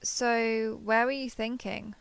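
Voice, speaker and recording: A woman's voice: on the high side, fairly even intonation, slow pace, gravelly, smooth, dry recording. A woman playing a lonely, clingy alien posing as a human survivor in an intimate audio roleplay, speaking hesitantly and coaxingly to the listener. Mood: relieved, clingy. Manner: hesitant, coaxing, softly over-eager to please.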